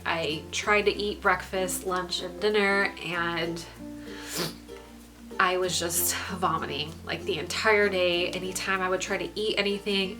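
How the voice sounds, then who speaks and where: A young woman with a stuffy, congested voice giving a candid pregnancy update, pausing often with ums. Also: on the low side, low-quality recording. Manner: frequent ums, relaxed and candid. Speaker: pregnancy vlogger. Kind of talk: casual vlog update.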